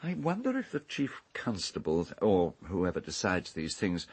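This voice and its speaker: Husky, haughty English gentleman. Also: low-pitched, huge pitch swings, heavily acted. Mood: dry, speculative. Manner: pompous, fastidious, measured formal speech. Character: urbane, haughty English island castle owner, coolly sardonic. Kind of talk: radio drama acting.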